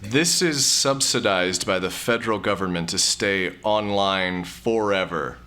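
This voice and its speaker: A man's voice: lowish voice, unhurried, pushed and bright. An actor playing a bewildered, wry man trapped in a digital afterlife, with a hesitant, dry, naturalistic delivery in a scripted audio comedy. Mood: skeptical, dry.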